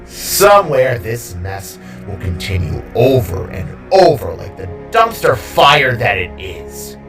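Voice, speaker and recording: A man performs a fallen villain king in an audio drama, mocking and gleefully unhinged. He drags out his words in a groggy, hissing ramble that swoops widely in pitch, with music playing underneath. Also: very animated, slow, a bit bright, distant mic, exaggerated voice. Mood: bitter, taunting.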